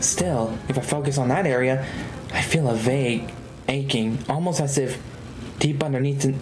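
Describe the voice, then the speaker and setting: A man's voice: medium pitch, moderate pace, noisy background. A man live streaming a video game, reading dialogue aloud and reacting casually with exclamations.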